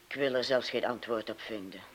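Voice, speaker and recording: A man's voice: mid-range voice, brisk, fairly bright tone, a little hiss or hum, close to an everyday voice. Actor as restless, disillusioned young man. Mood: world-weary, scornful. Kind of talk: radio play acting.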